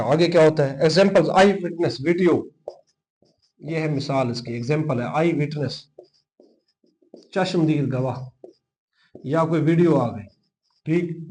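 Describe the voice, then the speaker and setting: A man's voice: high-pitched, a little mellow, low-quality recording. A man with a Pakistani accent teaching informally, explaining and dictating notes, repeating key terms and mixing in English technical words. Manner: mixes English legal terms into Urdu speech. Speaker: teacher of a law exam-prep class.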